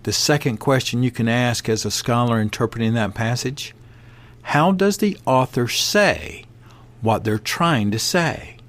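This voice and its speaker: A man hosting a podcast, reading a passage aloud and adding his own commentary in a measured way. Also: medium pitch, a bit bright. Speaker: podcast host.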